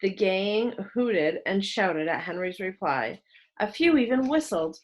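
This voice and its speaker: Very expressive woman reading a storybook. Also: medium pitch. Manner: expressive, dramatic pauses. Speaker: storybook reader. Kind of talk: children's read-aloud narration.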